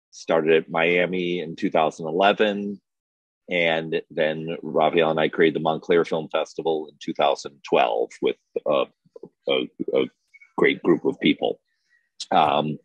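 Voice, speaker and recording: A man with a very low voice, recorded dry. He speaks as an interview guest, laying things out in order with small hesitant restarts. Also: no echo. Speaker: interview guest, festival programmer. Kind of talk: measured conversational recounting.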